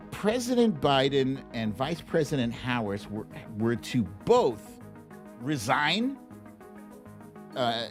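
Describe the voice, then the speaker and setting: A man with a very lively, swooping delivery hosts a casual livestream commentary. He takes his time, leaning on words playfully and pausing for drama. Some music plays behind his voice. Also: sits high. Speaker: host of a live political commentary show.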